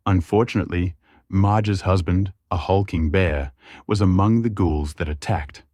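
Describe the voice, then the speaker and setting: A man's voice: deep voice, unhurried, warm tone, no room sound. A man reading scripted fantasy fiction as a storyteller. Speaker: storyteller, narrator.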